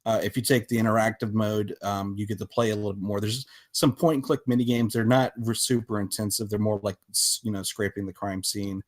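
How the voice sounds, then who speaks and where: Lower-pitched man, halting interview talk. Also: steady tone. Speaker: podcast guest, visual novel developer.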